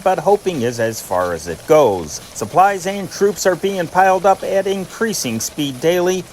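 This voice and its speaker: A man reads news dispatches from a script in a formal, old-fashioned wire-service manner. His voice sits a little higher than most men's. A light layer of steady noise runs behind him. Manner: formal, announces each dateline city before the item. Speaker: news reader, channel narrator.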